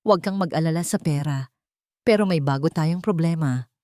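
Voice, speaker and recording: A woman's voice: deep voice, normal speed, darkish tone, dry recording, clean studio sound, lightly acted. A woman with a Filipino accent voicing a cold, venomous, scheming villainess in a romance audio drama.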